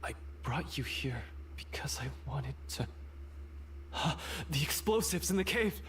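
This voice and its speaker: A man's voice: a little high, animated, unhurried, fairly bright tone, slight background noise. A man playing a dramatic, emotionally fragile treasure hunter in a video game. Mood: shaken. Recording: faint game music behind the voice.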